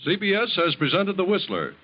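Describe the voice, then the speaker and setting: A man's voice: high voice, very animated, brisk. A man announcing formally for a radio network in 1940s broadcast style. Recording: dull vintage radio recording, loud music.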